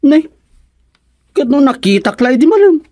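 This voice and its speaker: Animated, complaining Ilocano village official. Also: sits high, a little slow, no room sound, studio quality. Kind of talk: Ilocano radio comedy drama acting.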